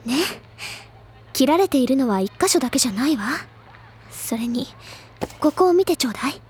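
A woman's voice: sits high, lively intonation, moderate pace, a little hiss or hum. A woman playing an earnest, bookish teenage schoolgirl in a Japanese audio drama, speaking in refined, effusive feminine phrases. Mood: earnest, thoughtful. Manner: effusive, refined feminine speech, gently persuasive. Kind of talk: Japanese audio drama, anime-style acting.

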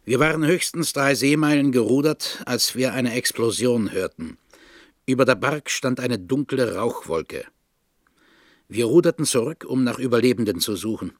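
A man acting a remorseful older father who narrates his confession in a radio drama, solemn and measured. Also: mid-range voice, expressive, dry recording, clean studio sound, lightly acted. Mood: grave recounting.

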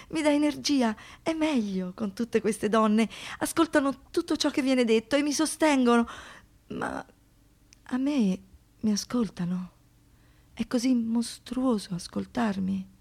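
A high-voiced young woman survivor, wounded, defiant. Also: vocal fry, darkish tone. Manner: confiding, halting, dramatic pauses. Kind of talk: intimate theatrical radio monologue.

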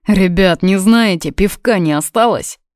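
A woman's voice: mid-range voice, takes it slowly, dry recording, clean studio sound, pitched above the performer's own voice, lightly acted. A woman voicing a blunt, friendly young man in an audiobook, hungover and hankering for beer. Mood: hopeful. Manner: blunt, drawling.

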